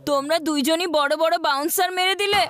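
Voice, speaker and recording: A woman's voice: very high-pitched, fast, fairly bright tone. A woman voicing a sporty, boastful young boy in a cartoon. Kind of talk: cartoon voice acting.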